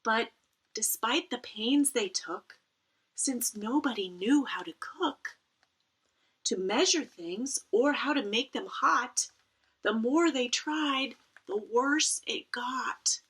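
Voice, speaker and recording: Woman, children's storybook read-aloud, expressive, bright voice, unhurried pace, dramatic emphasis. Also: fairly high pitch, husky. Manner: animated, dramatic emphasis with playful asides. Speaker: teacher reading a picture book aloud.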